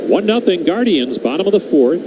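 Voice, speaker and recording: A man calling baseball play-by-play in a radio style, mixing clipped pitch calls with conversational asides. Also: sing-song, fairly quick. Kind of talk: live radio sports play-by-play commentary. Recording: very noisy live broadcast, constant background hum.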